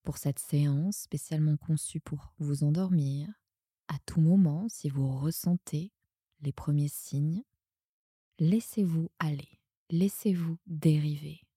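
Very soft, slow, breathy woman guiding meditation. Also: low voice, no room sound, studio quality. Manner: soothing, hushed, often breathy to a whisper. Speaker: yoga nidra guide and podcast host. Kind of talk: guided sleep meditation.

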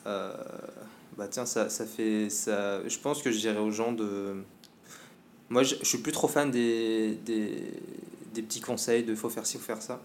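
A man's voice: on the low side, fairly even intonation, measured pace, slight background noise. A man, a podcast guest, speaking earnestly while searching for his words. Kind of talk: casual conversation.

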